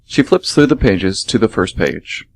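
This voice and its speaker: A man's voice: lowish voice, lively intonation, unhurried. A man hosting and narrating a radio theater show in scripted announcements and narration.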